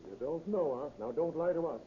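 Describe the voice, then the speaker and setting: A man performs a gruff, commanding lawman from a 1930s radio police drama. His voice sits a little high and barks in slangy phrases. The recording is dull and clearly noisy. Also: lively intonation, brisk, natural voice. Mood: triumphant, hard. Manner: barking, tough, slangy.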